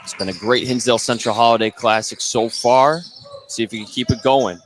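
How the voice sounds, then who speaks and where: A man's voice: medium pitch, brisk, background noise. An American man doing play-by-play radio commentary of a basketball game, following the action in short running phrases. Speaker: play-by-play sports announcer. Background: basketball game in a gym.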